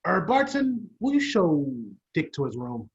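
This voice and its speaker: A man's voice: sits high, big pitch swings, unhurried, creaky, full character voice. A man playing a proud older inventor professor in an old-style radio play, explaining theatrically and sometimes stumbling. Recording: dull lo-fi sound.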